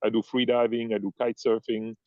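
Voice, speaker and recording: A man's voice: medium pitch, flat delivery, a little fast, no room sound, lo-fi sound. A man whose first language is German and whose accent is a mix of German and Italian, talking casually as an interview guest, rambling and searching for words. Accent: mixed German-Italian. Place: Ibiza.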